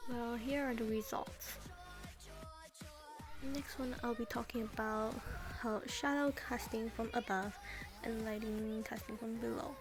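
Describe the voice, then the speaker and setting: A woman's voice: on the high side, steady tone, a little slow, long pauses. Chatty teen girl over music. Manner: chatty, rambling asides with hesitant restarts. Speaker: art tutorial narrator. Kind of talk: casual voice-over tutorial. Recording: voice-over with clear background music. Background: background music.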